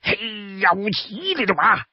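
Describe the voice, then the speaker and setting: A man's voice: high-pitched, big pitch swings, takes it slowly, husky, dry recording, lo-fi sound, exaggerated voice. A man voicing a hot-tempered male outlaw in Cantonese, blustering and threatening in a storybook tale. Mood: furious. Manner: explosive, threatening.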